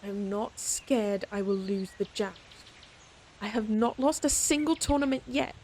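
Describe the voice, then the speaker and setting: A woman's voice: medium pitch, unhurried, mellow, slight background noise, natural voice. A woman playing a proud, stern lady knight in an audio roleplay, scolding her companion in an exasperated but secretly fond way. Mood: defensive, haughty. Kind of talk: audio roleplay acting, speaking to the listener.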